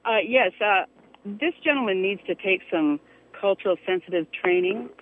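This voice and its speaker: A woman's voice: medium pitch, normal speed, phone-quality sound, slight background noise. A woman from Alaska calling a radio show on a cell phone, indignant and challenging as she argues. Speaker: caller. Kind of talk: radio call-in argument.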